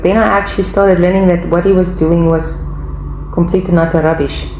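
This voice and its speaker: A woman's voice: on the low side, dark tone. A woman in her forties with a South African accent, chatting casually to viewers as she answers their questions. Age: 40s. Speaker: video channel host. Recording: echoey room with faint background noise.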